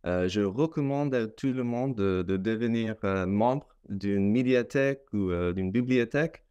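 A young Canadian man, a native English speaker, talking in careful learned French on a video call, with many hesitant pauses. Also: fairly low pitch, a little mellow, clear, resonant tone, lo-fi sound. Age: young adult. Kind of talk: casual conversation in learned French. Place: small room at home. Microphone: laptop webcam mic on video call.

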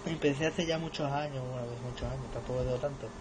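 Even-toned teenage male over noisy background. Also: medium pitch, quick pace. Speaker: novice radio operator. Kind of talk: casual radio contact chat. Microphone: camera filming the radio set in room. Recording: radio static and noise behind voice.

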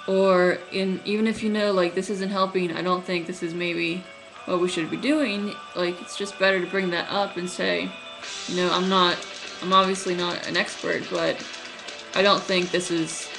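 Young woman who stutters, low and gravelly. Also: low-quality recording. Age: young adult. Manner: stuttering, halting, many fillers and restarts. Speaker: vlogger. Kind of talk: casual webcam vlog. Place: small room at night, indoors. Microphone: webcam at close range.

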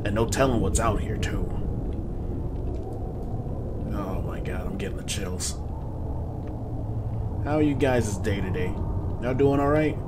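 A man in his twenties giving casual, slangy horror game commentary to his viewers in an animated, excitable way. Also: fairly low pitch, a little slow, pauses often, very noisy. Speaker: gaming video host. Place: small room at home, indoors.

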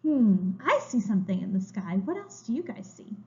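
A woman preschool teacher reading a children's story aloud, expressive and emphatic, as if to young children. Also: mid-range voice, normal speed. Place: room at home, indoors. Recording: slightly dull, lo-fi webcam sound.

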